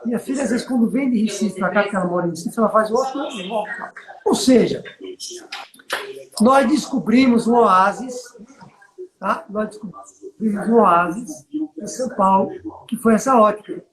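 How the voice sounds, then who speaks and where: A man's voice: sits high, moderate pace, pauses often, reverberant, a crowd in the background, rough recording. A middle-aged man with a Northeastern Brazilian accent, chatting as a business mentor and telling stories while checking that the listener follows. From Bahia, Brazil. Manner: storytelling, asks listener for agreement. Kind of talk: conversational business coaching.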